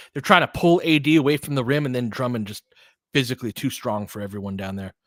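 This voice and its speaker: A man's voice: medium pitch, fairly bright tone, no room sound, clean studio sound. A man giving informal live basketball play-by-play and analysis, conversational and openly partisan, like a fan of the home team.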